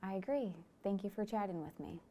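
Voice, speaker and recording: A woman's voice: medium pitch, brisk, mellow. A young woman from Michigan, asking friendly, upbeat questions in a casual interview chat. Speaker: student interviewer.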